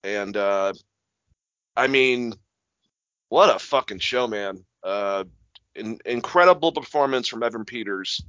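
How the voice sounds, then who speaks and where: A young man co-hosts a casual podcast, piling up fillers and repeats while swearing freely. His voice is bright and forceful. It sounds dry and slightly lo-fi. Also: on the low side, unhurried, pauses often, dry recording. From Massachusetts, USA. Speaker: podcast co-host.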